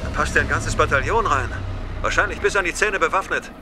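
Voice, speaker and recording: Actor as gruff clone soldier, higher-pitched. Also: male voice, background noise, close to an everyday voice. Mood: brisk, alert. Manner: brisk military speech. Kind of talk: audio drama acting. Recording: loud music behind.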